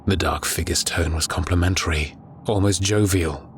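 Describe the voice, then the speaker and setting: A man narrating a scripted horror audiobook in a grave, measured storytelling manner. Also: low voice, a little hiss or hum.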